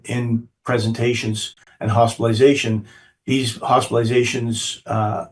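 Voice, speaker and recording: A croaky, hoarse man delivers a medical webinar lecture, his voice set a little back from the microphone. He speaks in a halting way, often doubling up on his words. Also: mid-range voice, recorded from a distance. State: hoarse from a cold. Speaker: physician speaker.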